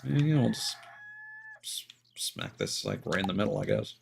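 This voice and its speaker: A man's voice: lowish voice, huge pitch swings, moderate pace, gravelly, background noise. A man giving casual, jokey commentary while streaming a video game. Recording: game music behind the voice. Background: video game music.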